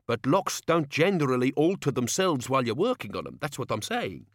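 A man voicing a gruff, indignant old male locksmith with a rough working-class way of talking in an audiobook. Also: very animated, brisk, a bit bright, no room sound, clean studio sound. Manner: indignant working-class grumble, rising pitch.

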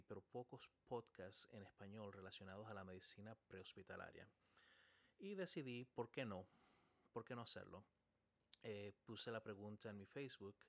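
A man, a paramedic hosting his own podcast in a casual monologue with frequent "eh" fillers. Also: on the low side. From Chile, raised in Dominican Republic.

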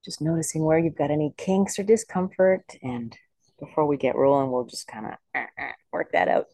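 A meditation teacher leading a guided body scan in slow, unhurried phrases with pauses. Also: mid-range voice, lively intonation, dark tone, no room sound.

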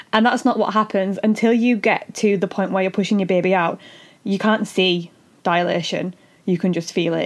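Woman podcasting solo, voice gone a bit husky, earnest and emphatic, middling pitch. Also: a little mellow. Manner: earnest, emphatic, explains step by step. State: husky voice after illness. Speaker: podcast host and doula. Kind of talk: solo educational podcast talk.

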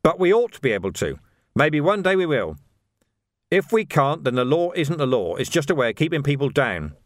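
A man voicing a steadfast middle-aged police sergeant in an audiobook, measured, firm and wry. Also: a little high, big pitch swings, no echo, studio quality. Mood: earnest, resolute.

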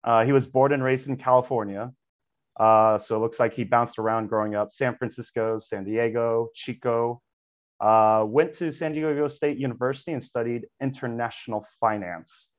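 A man hosting a casual podcast interview, relaxed and easygoing, often saying "right on". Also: mid-range voice, normal speed. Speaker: podcast host. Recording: slightly dull lo-fi sound.

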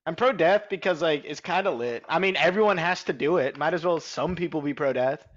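High-pitched young man bantering, slightly dull audio. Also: a little fast. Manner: teasing, mock-combative, slangy. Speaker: podcast co-host. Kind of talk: casual banter.

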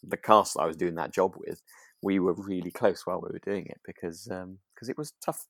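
A British man co-hosting a relaxed podcast, chatting casually and hesitantly, repeating words as he thinks aloud. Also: sits low, a little fast, no echo. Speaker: podcast co-host and actor.

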